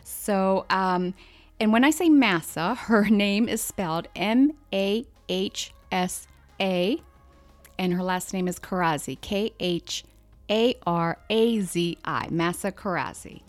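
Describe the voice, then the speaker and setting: A woman's voice: mid-range voice, slow pace. A woman wound care nurse hosting a podcast, chatting in a friendly, easygoing way and laughing easily. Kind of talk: casual podcast chat and read sponsor spot.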